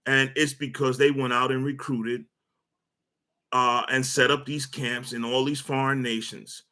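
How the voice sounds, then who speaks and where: A man's voice: mid-range voice, level tone, unhurried, bright, forceful tone. A man delivers a loose, rambling sports rant, stating his opinions bluntly. He trips over his words, repeats himself and fills the gaps with "uh" and "you know" as he works toward his point. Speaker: sports commentary host.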